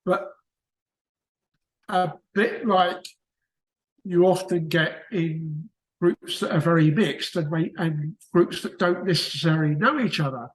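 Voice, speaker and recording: Man, British accent, casual book club chat, rambling, restarts sentences, slightly high voice, echoey room. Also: slow. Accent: British English. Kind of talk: casual discussion on a call.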